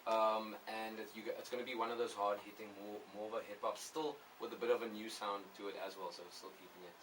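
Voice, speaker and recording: A man's voice: fairly low pitch, flat delivery, fast talker, fairly bright tone. A man, a guest in a radio interview who chimes in briefly to back up his partner. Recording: distant mic with faint noise.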